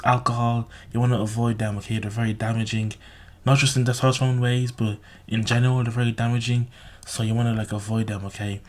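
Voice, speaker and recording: Man, medium pitch, sore raspy throat, casual advice monologue, blunt, repetitive, swears a lot, ends points with "okay?". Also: darkish tone. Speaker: self-improvement video host.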